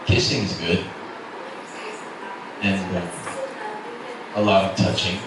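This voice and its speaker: A man's voice: low-pitched, flat delivery, slow pace. A man playing an intimate, careful male lover in a dramatic reading of a romance story, with hesitant, murmured delivery. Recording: roomy echo, distant mic, noisy background.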